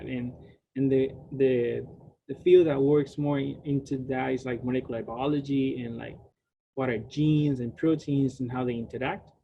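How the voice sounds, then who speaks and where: Man, 20s, from Puerto Rico, Spanish native speaker, halting online lecture, dull lo-fi sound, faint hiss. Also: mid-range voice, gravelly. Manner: halting, many fillers and restarts. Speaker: graduate student speaker. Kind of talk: online science talk. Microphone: video call.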